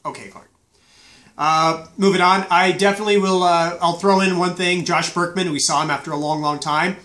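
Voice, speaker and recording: A man's voice: high-pitched, steady tone, normal speed, sharp tone. Stuffy-voiced man rambling through a fight review. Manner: rambling, many fillers and restarts. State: stuffy, recovering from flu. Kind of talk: casual fight review vlog. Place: small indoor room.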